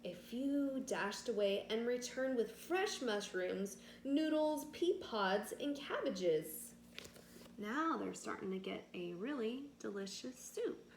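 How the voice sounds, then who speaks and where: A woman with a fairly high voice reads a children's story aloud at a slow, deliberate pace. She stresses key words like a teacher and speaks in an echoey room. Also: lively intonation. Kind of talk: expressive picture-book read-aloud with asides to young listeners. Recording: echoey room with faint background noise.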